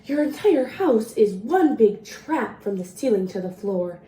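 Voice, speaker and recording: A woman's voice: a little high, sing-song, a little slow, dark tone. A woman voicing a boastful, mischievous old male leprechaun in a rhyming picture-book read-aloud, teasing and playful, in a roomy space. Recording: roomy echo, faint background noise.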